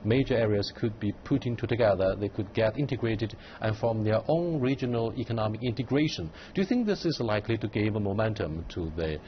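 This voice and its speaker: A man hosting a studio panel, posing long questions with measured pacing and frequent hesitations. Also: fairly low pitch, lively intonation, muffled recording, slight background noise. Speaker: host.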